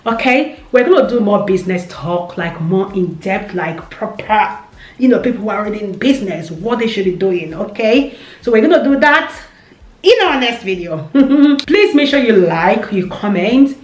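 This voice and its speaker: Animated Nigerian woman vlogging in a roomy room. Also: mid-range voice, normal speed. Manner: animated, repeats phrases, gestures a lot with hands. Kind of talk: casual business advice chat. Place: indoor room with shelves. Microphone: camera in front, seated. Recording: roomy echo.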